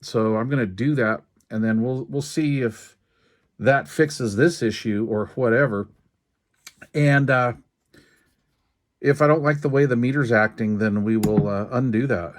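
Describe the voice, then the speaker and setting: Regular guy, mid-pitched voice, casually talking thru a repair as he works, folksy n halting. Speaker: radio repair technician and video host. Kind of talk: hands-on repair demonstration, casual narration. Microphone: handheld camera while working.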